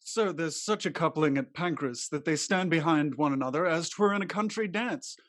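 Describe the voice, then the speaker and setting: A man acts an obedient manservant in a Restoration stage comedy. His voice sits a little high, deferential in its period phrasing, and recorded dry and close. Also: normal speed, a bit bright, no room sound, lightly acted. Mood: eager, reporting. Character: obedient male servant reporting to his master. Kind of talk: Restoration comedy play reading.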